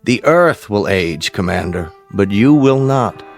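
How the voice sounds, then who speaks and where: An American actor playing a grave, paternal space-program scientist in a radio drama, speaking formally, deliberately and with emphasis. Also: male voice, lowish voice, expressive. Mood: candid, fatherly. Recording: music behind the voice.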